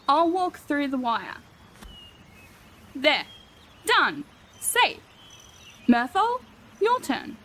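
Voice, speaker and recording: A woman's voice: on the high side, a little hiss or hum. A woman playing an exasperated, sarcastic fantasy rogue who scolds her companions in a comic audio drama.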